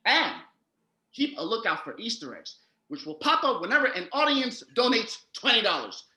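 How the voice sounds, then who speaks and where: Man playing a very bright, excitable German storyteller brother. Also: very high voice, moderate pace, full character voice. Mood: upbeat, presenting. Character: Wilhelm Grimm, excitable German storyteller brother of fairy tales. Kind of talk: comedic stage patter and rhymed storytelling. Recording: roomy, echoey home recording.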